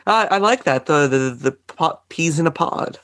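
A man with a slightly hoarse voice chatting casually on a podcast, giving brief, wry, laid-back replies. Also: fairly high pitch, expressive, moderate pace, fairly bright tone, dry recording. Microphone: microphone on a boom arm.

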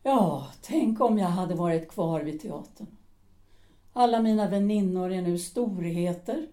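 A woman's voice: on the low side, warm tone, natural voice. A woman playing an embittered former actress, a cutting and reproachful middle-aged wife in an audio drama. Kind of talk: Swedish audio drama acting.

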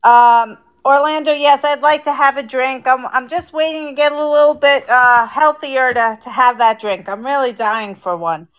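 A woman's voice: high-pitched, fairly bright tone, clear, resonant tone. A woman with a New Jersey accent co-hosting a live podcast, casually reading out and answering listener comments. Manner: unhurried, reads out listener comments. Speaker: podcast co-host.

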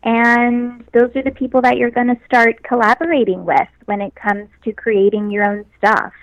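A young woman with a high, light voice chats easily about her work, upbeat and given to laughing. The sound is dry and close, with no room around her. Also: fairly even intonation, normal speed, dry recording, poor audio. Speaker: guest actress. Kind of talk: casual interview chat.

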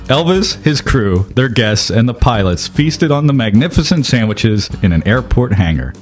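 Male podcast narrator, scripted comic storytelling, lively intonation over soft guitar music. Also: mid-range voice, normal speed.

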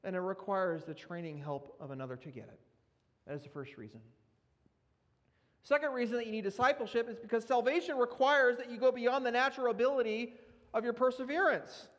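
A man's voice: extremely high pitch, a little fast. A man preaching a sermon, earnest and emphatic as he works through scripture readings. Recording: long reverb of a large hall.

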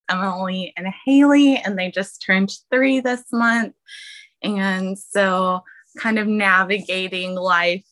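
A young woman with a light Texan accent speaks slowly and thoughtfully as a podcast guest. Her voice is bright and forward, and her talk is earnest and reflective. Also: mid-range voice. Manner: slow, earnest, reflective, with laughs. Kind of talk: casual interview chat.

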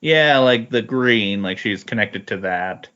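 A man's voice: fairly low pitch, animated, a little slow, pushed and bright. Hesitant man in slightly muffled casual chat. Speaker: podcast co-commentator on comic books. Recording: slightly dull, lo-fi audio.

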